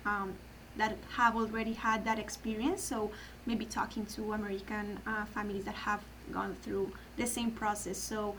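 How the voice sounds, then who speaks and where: A woman's voice: medium pitch, little pitch movement, moderate pace, fairly bright tone, low-quality recording. Colombian woman, Spanish first language, guest on a podcast, careful and earnest, faint hiss behind her. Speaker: adoption program guest. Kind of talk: podcast interview.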